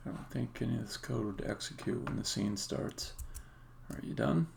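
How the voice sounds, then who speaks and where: A man doing casual live-coding commentary, thinking aloud in a halting mutter as a game developer on a stream. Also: medium pitch, creaky, a little mellow. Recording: faint noise, keyboard typing and clicks. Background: computer keyboard typing and clicking.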